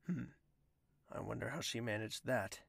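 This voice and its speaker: A man's voice: mid-range voice, moderate pace, vocal fry, fairly bright tone, slightly rough, dry recording, heavily acted. A man playing an irritable, suspicious prince in an audio drama, speaking in a terse, brooding way.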